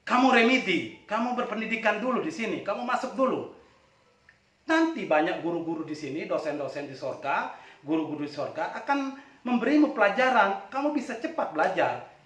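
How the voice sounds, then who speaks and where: A folk-tale wind god, acted by a man, lectures like a strict but helpful mentor. The voice is high and very lively, with emphatic delivery, set back in a reverberant room. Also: fairly quick. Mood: lecturing. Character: male wind god, authoritative, scolding yet helpful mentor. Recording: echoey room, distant from mic.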